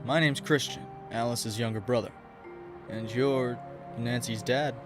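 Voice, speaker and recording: A man's voice: medium pitch, fairly even intonation, unhurried, music in the background, close to an everyday voice. A man playing a casual younger brother guarding a safe house in an audio crime drama. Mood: guarded, friendly.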